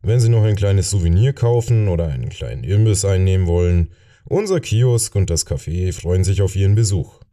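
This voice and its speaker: A formal grandfather tour guide acted out by a male reader speaks in a very mellow, dark voice. He delivers his courteous, rehearsed patter as in an audiobook story. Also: deep voice, animated, moderate pace. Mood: polite, formal. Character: grandfather tour guide politely closing a castle tour.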